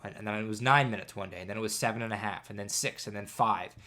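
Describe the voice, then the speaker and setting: A man's voice: fairly low pitch, quick pace, bright, forceful tone. A man in his thirties from Canada, stuffed up with congestion, hosting a casual solo podcast with self-deprecating jokes. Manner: self-deprecating, jokey storytelling. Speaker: podcast host.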